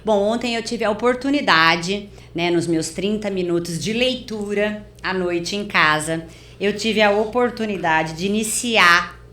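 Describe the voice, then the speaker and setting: A woman's voice: medium pitch, unhurried, bright, forceful tone. A Brazilian woman hosting a studio interview in an effusive, emphatic, enthusiastic way. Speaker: interview host. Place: recording studio. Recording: roomy echo, faint background noise.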